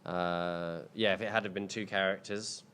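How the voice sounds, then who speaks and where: Man, 30s, low voice, hesitant, lots of ums, casual q&a talk. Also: fairly even intonation, moderate pace, a bit bright, slight background noise. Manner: rambling, hesitant, many ums and restarts. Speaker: filmmaker answering audience questions. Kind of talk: casual panel Q&A. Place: indoor screening event.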